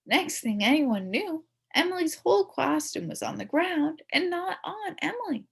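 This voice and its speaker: Very animated woman reading a children's story. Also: sits high, vocal fry. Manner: expressive, dramatic emphasis with drawn-out words. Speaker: storybook reader. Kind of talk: animated children's story read-aloud, first-person as a kid.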